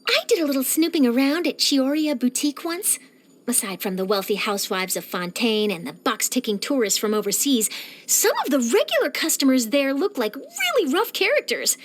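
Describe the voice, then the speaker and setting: Young female game journalist, high bright-eyed chatter, swooping intonation, nosy, dramatic, gossipy. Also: moderate pace, a little hiss or hum. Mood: gossipy, animated. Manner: theatrical, expressive, gossipy. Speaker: video game character voice. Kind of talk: animated game character voice lines.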